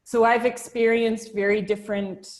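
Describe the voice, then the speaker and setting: Young woman from New Zealand, video-call chat, thoughtful, halting, lots of restarts. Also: fairly high pitch, flat delivery. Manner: thoughtful, hesitant, many restarts and fillers. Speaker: discussion participant. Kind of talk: casual video-call discussion.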